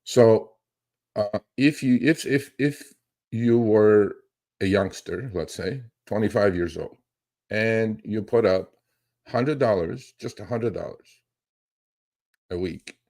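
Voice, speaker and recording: Deep-voiced man rambling on a livestream. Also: takes it slowly, pauses often, a little mellow. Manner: rambling, emphatic, often checks with "okay?" and "right?". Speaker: live stream host, investing educator and trader. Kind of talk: casual unscripted livestream talk.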